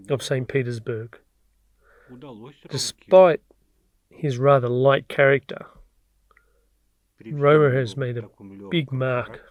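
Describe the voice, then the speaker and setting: Man reading doc dub slowly, reflective, medium voice. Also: medium pitch. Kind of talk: dubbed documentary voice-over.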